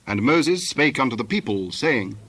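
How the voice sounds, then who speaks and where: Solemn male scripture narrator, medium pitch. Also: lively intonation, normal speed, a bit bright, breathy edge.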